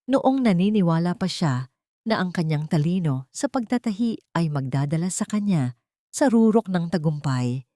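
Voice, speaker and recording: A woman's voice: lowish voice, lively intonation, moderate pace, dark tone, no echo, clean studio sound. A woman with a Filipino accent reading a dramatic scripted story as an audiobook narrator.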